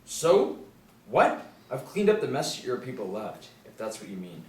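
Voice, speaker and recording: A man acting an angry, nervous middle-aged husband with a dark past at a script table read. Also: mid-range voice, huge pitch swings, unhurried. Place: small room indoors. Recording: roomy, distant mic, faint noise.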